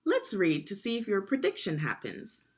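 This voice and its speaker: Lady teacher doing a kids read-along, really animated, slightly husky voice, slow and deliberate. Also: medium pitch. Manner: expressive, deliberate, instructional. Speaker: teacher reading aloud to children. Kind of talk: read-along storytelling with guiding questions.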